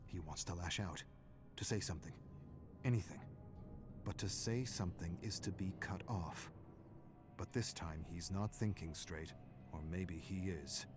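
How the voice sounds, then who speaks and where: A man reading a dramatic scripted story in a measured, brooding way, in short clipped phrases. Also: deep voice, music in the background. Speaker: narrator.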